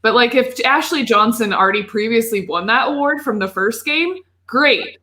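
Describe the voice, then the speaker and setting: A woman's voice: fairly high pitch, lively intonation, normal speed, pushed and bright. A woman co-hosting a casual podcast about video games, talking informally in rambling, emphatic asides. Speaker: podcast co-host.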